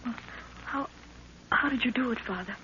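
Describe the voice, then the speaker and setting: Anxious, high-voiced young heroine. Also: female voice, moderate pace, bright, forceful tone. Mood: relieved, anxious. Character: anxious young daughter rescued from captivity. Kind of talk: 1930s radio acting. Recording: dull, noisy 1930s radio recording.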